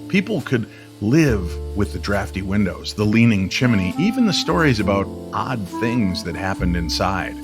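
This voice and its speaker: A man telling a mysterious story in old-time radio style, expressive and measured, with weighty pauses. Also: lowish voice. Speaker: co-narrator of an audio story series. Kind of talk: dramatic scripted story narration in old-time radio manner. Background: music under the voice.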